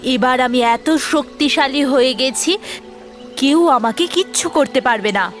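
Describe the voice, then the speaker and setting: A confident, high-voiced mermaid princess. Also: female voice, unhurried. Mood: boastful. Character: powerful, confident mermaid princess, attached to her dragon. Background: music.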